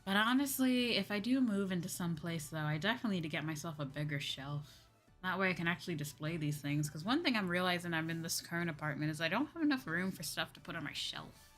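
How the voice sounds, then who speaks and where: A woman's voice: lowish voice, fast talker. Young woman doing a casual stream chat, exclaims a lot, quotes herself, bit of music in the back. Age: young adult. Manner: animated, exclamatory, self-quoting asides. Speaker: VTuber streamer chatting with viewers. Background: background music.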